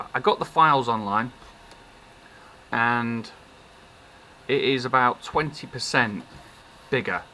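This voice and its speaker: Bright-voiced man in a casual hobby vlog. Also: mid-range voice, a little hiss or hum. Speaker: maker showing his work. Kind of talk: casual unscripted product showcase vlog.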